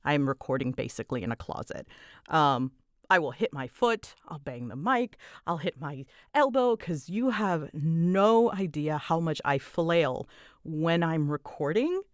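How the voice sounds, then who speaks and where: A woman with a slightly low voice rambles through a casual Q&A. She is dramatic and scattered, poking fun at herself as her delivery swoops up and down. The sound is close and dry, as from a small closet. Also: lively intonation, unhurried, no room sound. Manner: scattered, self-deprecating, giggly. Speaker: ASMR audio creator and voice performer. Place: small closet used for recording.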